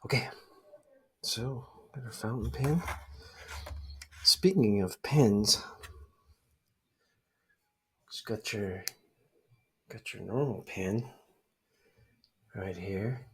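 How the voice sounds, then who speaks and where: A man speaking softly for ASMR close to the microphone, breathy and often near a whisper, in a hesitant, rambling murmur. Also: fairly low pitch, extremely slow, pauses often. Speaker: ASMR creator playing a spy dad showing gear. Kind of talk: ASMR roleplay, soft-spoken.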